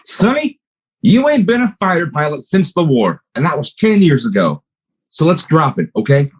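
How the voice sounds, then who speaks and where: A man's voice: on the high side, sing-song, moderate pace, slightly rough. Murchison, a man playing a cocky war buddy. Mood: teasing. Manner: cocky, blunt, teasing. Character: brash male war buddy, smooth-talking flirt. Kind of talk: 1940s radio acting.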